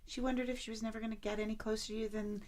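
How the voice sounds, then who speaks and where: An actress playing a lovesick, anxious and reproachful lover in a naturalistic audio drama, halting and emotional. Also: female voice, fairly high pitch, little pitch movement, darkish tone, natural voice. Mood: wary, accusing.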